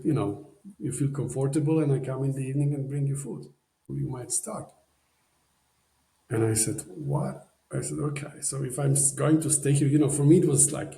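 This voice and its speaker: A man's voice: mid-range voice, fairly even intonation, fairly quick, gravelly, dark tone, roomy sound, low-quality recording. A man from Poland with a Polish accent, a podcast guest telling a story in casual, rambling talk full of "you know" fillers. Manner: rambling, many "you know" fillers, non-native phrasing.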